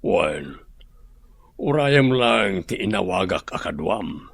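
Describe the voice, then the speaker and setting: A man's voice: sits low, sing-song, slow pace, creaky, dry recording, heavily acted. A man with an Ilocano accent acting in a radio drama as the ailing master of a big house, who gives firm orders. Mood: stern. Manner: commanding, giving orders.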